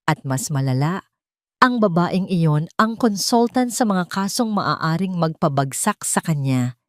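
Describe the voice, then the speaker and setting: A woman's voice: lowish voice, lively intonation, a little slow, no echo. A woman narrating a scripted dramatic love story as an audio drama.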